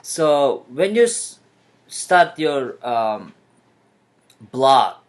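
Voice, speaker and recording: A man from Kyrgyzstan, a native Kyrgyz speaker, hosting an interview podcast and asking questions in a soft, slightly halting way. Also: medium pitch, very animated. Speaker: podcast host.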